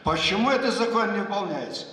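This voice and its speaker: A man's voice: sits high, lively intonation, fast, bright, forceful tone. An older man from Dagestan, an education official giving an emphatic conference speech and repeating key points. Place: large conference hall. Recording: echoey conference hall with long reverb.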